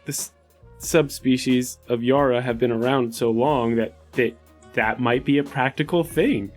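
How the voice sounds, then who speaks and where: A man with an average-pitched voice talks as a player in a tabletop role-playing game. His delivery is casual and improvised. Soft music sits behind his speech. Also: unhurried. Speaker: player on a tabletop RPG podcast.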